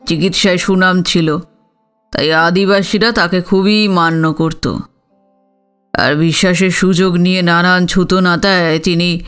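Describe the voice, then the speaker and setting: An actor playing a dignified older village father-in-law in an audio story, proud and reflective, explaining himself in a measured, deliberate way. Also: sits high, a bit bright, clear, resonant tone. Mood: calm, candid. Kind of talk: Bengali audio story acting.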